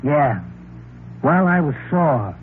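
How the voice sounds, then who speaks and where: An actor playing a cocky small-time crook in a 1940s radio crime drama, slangy, sneering and wheedling. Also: male voice, on the high side, sing-song, slow. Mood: matter-of-fact. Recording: tinny noisy old radio recording.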